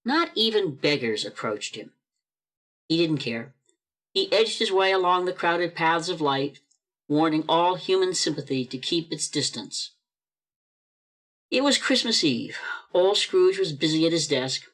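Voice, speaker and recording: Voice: mid-range voice, expressive, a bit bright. Theatrical narrator with emphatic phrasing. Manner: deliberate, emphatic, dramatic phrasing. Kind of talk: theatrical storybook narration for a radio play. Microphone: video call microphone.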